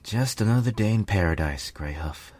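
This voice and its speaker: A man's voice: fairly low pitch, animated, normal speed, darkish tone, no room sound, voice pushed up. A man voicing a weary, dutiful male pegasus royal guard, earnest in tone, in a story reading. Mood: wry, friendly. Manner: sighing, earnest. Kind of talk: acted dialogue in a story reading.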